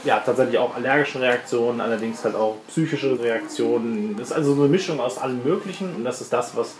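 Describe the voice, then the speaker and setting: A man running a tabletop game as game master, explaining rules and improvising scenes in a halting, explanatory way. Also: medium pitch, crowd noise. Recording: roomy home recording with clear noise.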